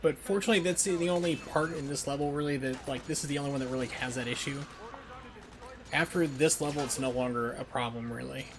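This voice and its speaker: A man's voice: mid-range voice, quick pace. A man casually commentating on a video game as he streams it live. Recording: noisy stream with game sound. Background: video game ambience.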